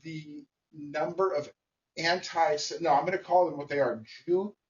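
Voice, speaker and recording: A man giving a blunt, emphatic opinion monologue to camera, speaking in short phrases with pauses. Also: a little high, steady tone, far from the mic, dull audio. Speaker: vlogger.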